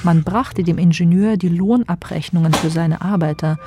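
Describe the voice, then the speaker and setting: A woman's voice: lowish voice, moderate pace, over music, close to an everyday voice. A woman narrating a literary radio play as a composed storyteller.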